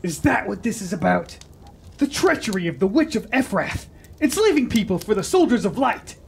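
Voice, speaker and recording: An actor playing a defiant, hot-headed lad in a fantasy radio drama, husky and challenging in delivery. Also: mid-range voice, sing-song, normal speed, a little hiss or hum. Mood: defiant, accusing. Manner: challenging, impetuous, declamatory.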